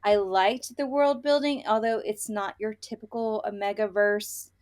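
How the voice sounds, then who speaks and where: A woman's voice: mid-range voice, steady tone, unhurried, darkish tone. A woman co-hosting a casual book review podcast, talking in an emphatic, opinionated and conversational way. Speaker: podcast co-host reviewing romance novels.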